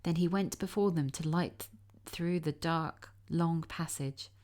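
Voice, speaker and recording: A woman reading a classic fairy tale aloud as a storybook narrator, expressive and careful. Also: deep voice, unhurried.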